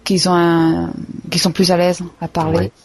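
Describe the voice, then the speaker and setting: A woman's voice: lowish voice, monotone, measured pace, a little hiss or hum. A woman in casual conversation, talking reflectively and thinking aloud about her own learning. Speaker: adult language learner.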